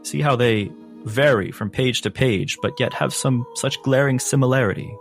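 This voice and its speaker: A man voicing a kindly old priest and mentor in a tabletop game, speaking in a gentle, measured, teacherly way. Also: mid-range voice, gravelly, soft background music, natural voice. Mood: patient, instructive.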